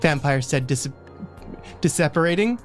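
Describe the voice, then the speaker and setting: A woman's voice: sits low, lively intonation, moderate pace. Female stream co-host, comic read-aloud with casual asides, stumbles on words, music underneath. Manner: stumbles over misspelt words, breaks into asides. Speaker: livestream co-host reading fan fiction aloud. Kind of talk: comic dramatic reading mixed with casual chat. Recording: music behind the voice.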